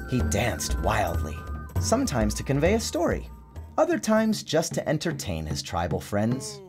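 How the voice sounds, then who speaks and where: A man reading a children's storybook as a dramatic, theatrical narrator. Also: medium pitch. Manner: theatrical, expressive storyteller delivery. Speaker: author narrating his storybook. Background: music and sound design.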